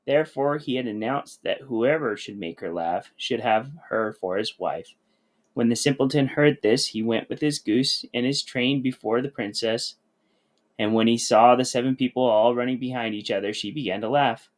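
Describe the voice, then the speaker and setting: A man narrates a children's fairy tale from a script. His voice has an average, middle pitch and he reads as a storybook narrator. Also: normal speed. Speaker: storybook reader.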